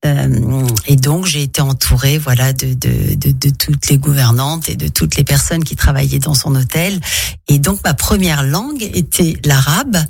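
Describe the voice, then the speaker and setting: A woman's voice: very deep voice, moderate pace, creaky, darkish tone, husky, no room sound. A woman actress from Morocco, a guest on a radio interview, telling her life story with many hesitations and restarts.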